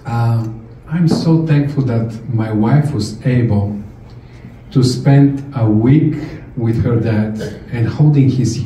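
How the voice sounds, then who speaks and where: Bulgarian-accented man in an echoey hall. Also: medium pitch. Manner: animated, gesturing, laughing storyteller. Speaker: eulogy speaker, missionary. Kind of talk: memorial speech. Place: large event hall, indoors. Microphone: handheld mic through room speakers. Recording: far-off echoey hall, faint noise.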